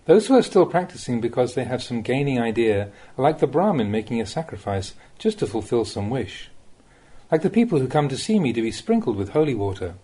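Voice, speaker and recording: Guy reading buddhist teachings, mellow and a bit breathy, measured teacherly delivery with short emphatic phrases. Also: medium pitch, very animated. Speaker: Buddhist monk reader of teachings. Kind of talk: reading a translated dharma talk aloud.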